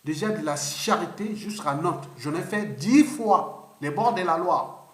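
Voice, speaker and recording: A man's voice: sits high, animated, unhurried, a bit bright. A man, giving an unscripted political monologue that rambles, repeats itself and presses its points hard. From Republic of the Congo. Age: 50s. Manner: rambling, repetitive, emphatic with restarts. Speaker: political commentator. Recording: roomy echoey room.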